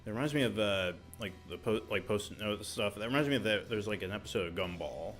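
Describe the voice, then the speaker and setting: A man's voice: lowish voice, quick pace, fairly bright tone, faint music behind. A man co-hosting a podcast in casual chat, hesitant and full of fillers. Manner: lots of fillers and mm-hmms, hesitant. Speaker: podcast co-host.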